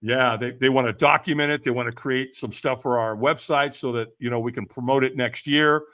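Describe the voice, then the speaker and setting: An older man telling stories as an interview guest in an energetic, emphatic, slangy way, acting out remembered conversations. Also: mid-range voice, a little fast. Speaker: podcast guest, firewalking event leader. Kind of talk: energetic storytelling in casual interview.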